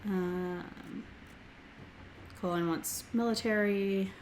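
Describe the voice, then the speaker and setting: A young woman hosting a game let's play, slightly hoarse as she recovers from a throat infection, chatting casually and reading game text aloud. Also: medium pitch, fairly even intonation, a little mellow, a little hiss or hum. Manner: reads game text aloud quickly, filler-heavy. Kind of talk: casual gameplay commentary.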